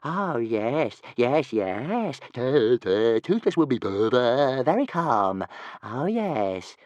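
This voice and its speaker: A man voicing a small, boastful, stuttering male dragon with a high, squeaky voice. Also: big pitch swings, takes it slowly, a little mellow, no room sound, highs cut off. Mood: eager. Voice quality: stammering, squeaky.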